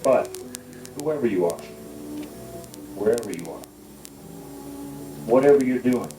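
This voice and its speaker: An actor playing a calm late-night radio DJ, speaking in an intimate on-air manner, heard over a radio. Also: male voice, medium pitch, a little slow, roomy sound, far from the mic, music in the background, low-quality recording. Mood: soothing.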